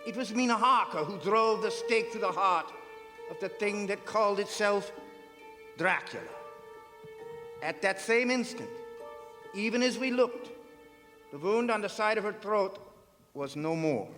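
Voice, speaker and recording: Very high-voiced, grave vampire hunter played by a man. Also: expressive, measured pace, long pauses. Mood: solemn. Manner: solemn, imperative, dramatic. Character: authoritative male vampire hunter, grave and urgent. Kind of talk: stage radio drama acting. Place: performing arts centre stage. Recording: reverberant theatre hall recording, music behind.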